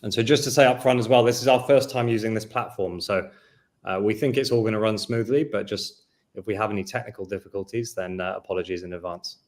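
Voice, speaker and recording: A man in his thirties hosting a business webinar over a video call, speaking in a measured way with frequent fillers. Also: lowish voice, fairly even intonation. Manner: measured presenter, hedging with fillers. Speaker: webinar host.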